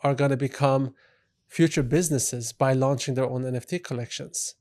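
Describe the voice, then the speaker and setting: A man from Sweden, a guest CEO on a podcast, telling his story in an easygoing, soft-spoken way with frequent "you know" fillers. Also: mid-range voice, fairly even intonation, normal speed. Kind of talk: relaxed storytelling in an interview.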